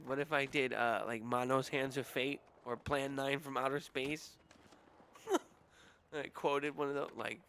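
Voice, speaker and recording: A man's voice: medium pitch, fairly bright tone, slight background noise. A young adult man with a nasal, whiny voice, rambling casually and making crude jokes while streaming a game.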